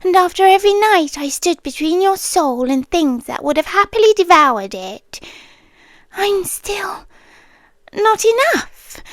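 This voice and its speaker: A smothering, obsessive guardian angel, played by a British woman, speaks in a high, soft half-whisper. She lingers over every word at a very slow pace, calm and coaxing. The close-miked sound is dry and intimate, an ASMR roleplay. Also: no echo, heavily acted.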